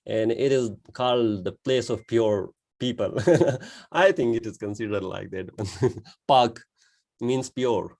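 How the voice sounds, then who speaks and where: Slow, giggly man with a Pakistani accent. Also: mid-range voice, creaky, darkish tone. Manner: laughing often, hesitant with many uhs, slow. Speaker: co-host and guest. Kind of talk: casual chat on a video call. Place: his home. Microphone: video call.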